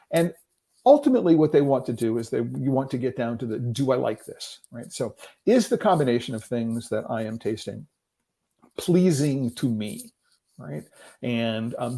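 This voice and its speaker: A man's voice: medium pitch, vocal fry, warm tone. A middle-aged man hosting a livestream, explaining in a loose lecture style with rhetorical questions, frequent "right?" checks and filler sounds. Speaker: livestream host.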